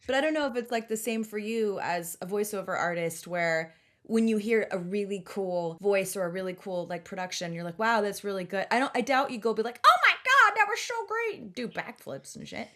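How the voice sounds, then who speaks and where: A woman's voice: mid-range voice, expressive, a little fast, pushed and bright. Slangy, sweary woman in casual podcast banter. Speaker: podcast co-host and stand-up comic.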